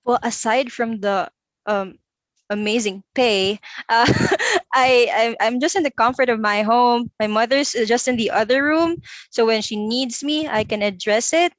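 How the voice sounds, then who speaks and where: A woman's voice: fairly high pitch, unhurried, dry recording. A young woman, talking about her job at home as an interview guest, chatty and laughing easily. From Philippines. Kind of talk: casual online interview. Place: home room.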